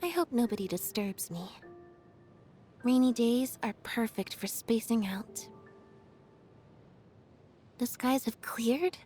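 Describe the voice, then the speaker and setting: A woman voicing an aloof, quiet young female magician's assistant in a video game, deadpan, reserved and understated. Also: on the high side, takes it slowly, pauses often, soft background music. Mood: detached. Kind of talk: video game character voice lines.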